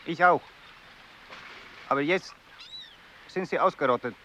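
A man's voice: a little high, a little slow, sharp tone, a little hiss or hum. An actor playing a boastful, blunt hired river boatman in a radio drama. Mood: blunt, ominous.

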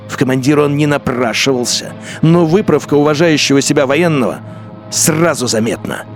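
A man narrating a radio drama in a bitter, measured inner-monologue voice. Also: mid-range voice.

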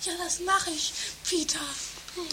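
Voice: very high voice, fairly even intonation, a little slow, noisy background. A performer playing an earnest young girl escaped from kidnappers in an improvised radio play.

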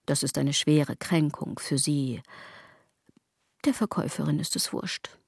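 An actress playing a sardonic, brooding woman in a radio drama monologue, with a husky, theatrical delivery that slips into breathy tones. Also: sits low, a little slow, dry recording, clean studio sound, heavily acted. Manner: ironic, theatrical, shifting into breathy whispers. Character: sardonic, brooding woman philosophising bitterly about fashion.

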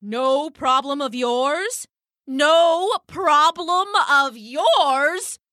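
A woman's voice: sits high, big pitch swings, takes it slowly, bright, forceful tone, no echo, clean studio sound, voice pushed up, full character voice. A woman voicing a pompous, bossy male dove in a children's story, affronted and emphatic.

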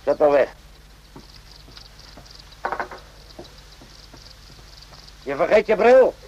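A man acting a gruff, high-pitched army medic, muffled recording. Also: animated, brisk, mellow, slight background noise, lightly acted. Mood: gruff, suspicious. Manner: gruff, barking, interrogating. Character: irritable, suspicious male army medic in the tropics. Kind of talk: radio drama acting. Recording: dull lo-fi radio play recording.

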